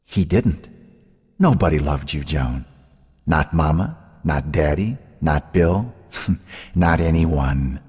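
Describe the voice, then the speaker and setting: Man voicing a high, venomous ghostly sister. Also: huge pitch swings, moderate pace, darkish tone, slightly rough, in a large hall. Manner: taunting, relentless, venomous. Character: mocking, venomous dead sister, taunting ghostly woman. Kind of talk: audiobook character voice.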